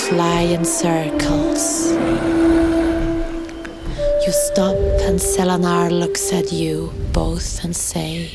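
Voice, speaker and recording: A woman narrates a dreamlike audio story in a soft, gentle manner. Her voice sits a little low and moves very slowly. Loud music plays beneath her words.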